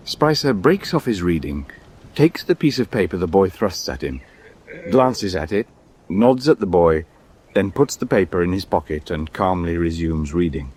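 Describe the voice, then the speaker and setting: A man playing an eyewitness narrator in a scripted radio drama, measured and precise. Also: low voice, darkish tone, slightly rough, heavily acted. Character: observant eyewitness narrator of naval expedition.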